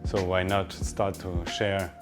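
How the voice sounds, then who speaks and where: A middle-aged man from northern France with a French accent, a chef speaking informally in an interview in a halting, explanatory way with pauses mid-phrase. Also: low voice, little pitch movement, music in the background.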